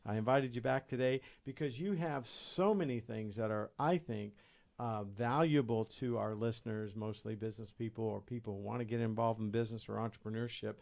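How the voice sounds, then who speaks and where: Midwestern male radio host, upbeat, encouraging, mid-pitched. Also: brisk, gravelly, a little mellow. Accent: Midwestern American. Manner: upbeat, encouraging, frequent backchannels. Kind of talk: radio interview hosting.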